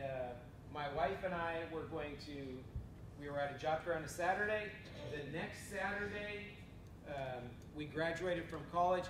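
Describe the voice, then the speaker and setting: A man in his forties from North Dakota, telling his career story to a room with dry humor. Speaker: human resources administrator. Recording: roomy echo from far off, rumble.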